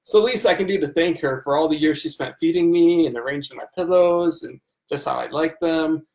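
A man's voice: a little high, brisk, vocal fry, darkish tone, roomy sound, rough recording. A man voicing a haughty, insulting male talking tabby cat in a dramatic book reading, a little back from the mic. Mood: dramatic, demanding. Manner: pompous, dramatic. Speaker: reader of the cat's part.

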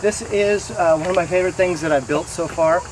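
A man in his thirties giving a casual, explanatory walking tour to camera, pausing now and then mid-sentence. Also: high-pitched. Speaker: host giving a garden tour. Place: outdoors in a wooded backyard garden. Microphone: camera mic several meters away. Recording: distant camera mic, noisy outdoor ambience. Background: insects and outdoor noise.

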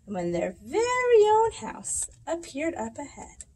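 A woman's voice: sits high, huge pitch swings, a little slow, darkish tone, a little hiss or hum. A woman in her thirties reading a rhyming picture book aloud expressively, with a sing-song delivery. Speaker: children's library storytime reader. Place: indoors in a library room.

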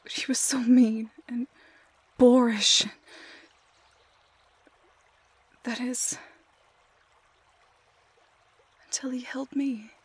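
A woman playing a heartbroken young woman lost in a fairy-tale forest, in an emotional audio roleplay, halting and often dropping to a whisper. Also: fairly high pitch, breathy edge. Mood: wistful.

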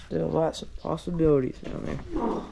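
A man narrating his own outing in a relaxed, chatty way, filmed outdoors by a creek on a chest-mounted action camera. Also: fairly high pitch, normal speed, vocal fry, background noise. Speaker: vlogger. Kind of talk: casual first-person commentary.

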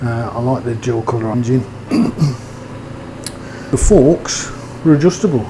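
A man's voice: mid-range voice, animated, a little slow, noisy background. A man giving a casual, rambling motorbike review, with fillers and hesitations. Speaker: motovlogger.

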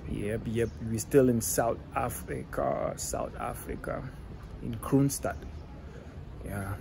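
Young man, slow casual vlog talk, phone at arm's length, outdoor street, traffic noise behind. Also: medium pitch, vocal fry. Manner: chatty, repeats shout-outs and asks viewers to subscribe. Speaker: travel vlogger. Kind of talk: casual walking-tour vlog. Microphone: phone camera held at arm's length.

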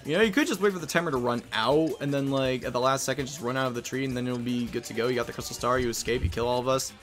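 A man's voice: medium pitch, racing. A man giving casual, rambling, self-deprecating commentary on a video game. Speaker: let's player. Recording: game music behind.

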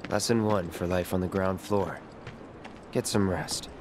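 A low, terse, aloof young mercenary. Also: male voice, measured pace, noisy background. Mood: cold, dismissive. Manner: terse, deadpan. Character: aloof, guarded young male mercenary for hire. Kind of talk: video game voice acting.

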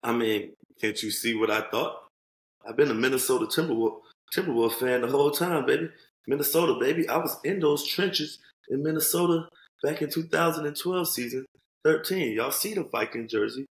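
A man co-hosting a casual sports podcast, animated and joking, swearing freely as he talks over a video call. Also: mid-range voice, brisk, roomy sound, low-quality recording. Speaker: podcast co-host. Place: home room. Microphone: headset on video call.

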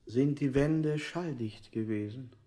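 A man's voice: medium pitch, slow, warm tone, slight background noise, natural voice. A man playing an interrogator in a radio play, putting formal, probing questions. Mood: coolly probing. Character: male interrogator, probing with absurd polite questions. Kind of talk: avant-garde German radio play acting.

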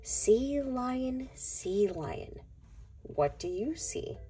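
A woman reading a picture book aloud to young children in a deliberate, sing-song way. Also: fairly high pitch, very animated, mellow, faint music behind. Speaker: children's read-aloud narrator.

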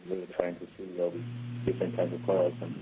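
A man's voice: low-pitched, completely flat delivery, quick pace. A man, a scientist, making casual remarks during a live dive feed. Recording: muffled lo-fi link, faint hiss.

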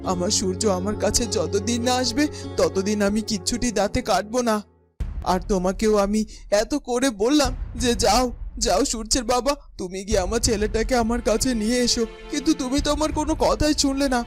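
A woman's voice: on the high side, brisk, soft background music, close to an everyday voice. A woman playing a distraught, stubborn village mother in a cartoon, plaintive and scolding in a melodramatic way. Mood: indignant.

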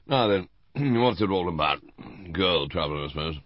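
A male reader acts out an older British colonel in an audiobook, gruff and avuncular. He teases and probes bluntly, with emphatic delivery. The sound is a bit muffled. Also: deep voice, lively intonation, moderate pace, no echo. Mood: bluff, avuncular.

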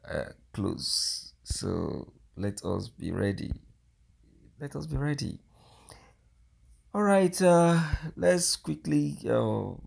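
Slow gravelly-voiced male commentator. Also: on the low side, darkish tone. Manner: halting, repeats words, filler "uh". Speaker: news commentator. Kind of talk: casual news commentary.

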